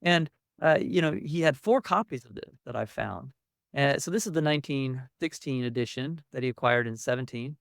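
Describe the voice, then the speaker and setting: A man's voice: mid-range voice, expressive, normal speed, creaky, fairly bright tone, no echo. Man giving a conversational academic lecture, dry sound. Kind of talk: public academic lecture with slides.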